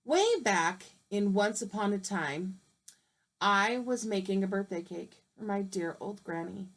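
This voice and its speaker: A woman's voice: mid-range voice, unhurried. A woman voicing a male wolf who narrates his own version of a storybook in a chatty, persuasive way. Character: smooth-talking male wolf telling his side.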